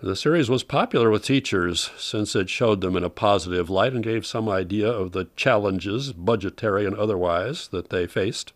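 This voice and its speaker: A man's voice: on the low side, normal speed, few pauses. A man hosting an old-time radio showcase with scripted, informative narration.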